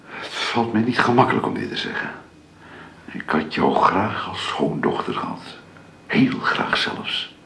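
An actor playing a caring, grave middle-aged or older father in a Dutch radio drama, speaking in a gentle, solemn manner. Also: deep voice, gravelly, exaggerated voice. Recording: roomy, faintly noisy radio play recording.

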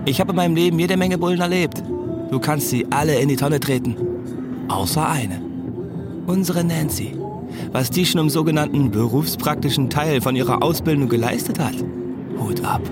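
A cocky young man from an East German housing estate, narrating a radio drama. His male voice sits fairly high and he talks slangily and confidingly, with strong music around him. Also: lively intonation, moderate pace, long pauses. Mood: cynical, admiring.

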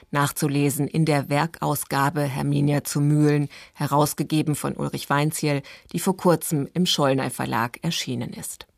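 Low, creaky-voiced woman radio presenter. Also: no echo, clean studio sound. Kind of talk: scripted radio narration.

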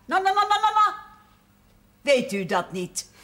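A severe older aunt in a 1970s television drama. Her voice is high and piercing, swinging widely as she commands and scolds like a Bible examiner. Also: very animated, measured pace, pushed and bright, exaggerated voice. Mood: stern, testing.

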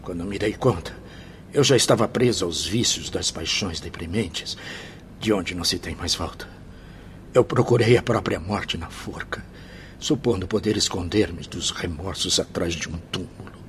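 A man's voice: low voice, normal speed, a little hiss or hum. An actor playing a tormented, remorseful man crazed by jealous passion in a theatrical radio drama. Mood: bitter remorse. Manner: theatrical, anguished, swinging from confession to frenzy. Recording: loud dramatic music behind the voice.

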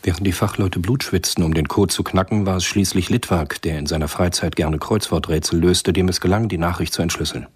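A composed thriller narrator in a radio play. His voice is deep and very husky, telling the story in measured, literary phrasing. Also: fairly even intonation, darkish tone. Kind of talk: scripted radio drama narration.

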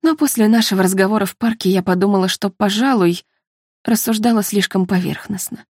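A woman's voice: mid-range voice, fairly quick, darkish tone, breathy edge, no echo, clean studio sound, natural voice. A woman narrator voicing an earnest, troubled woman who speaks confessionally in a novel.

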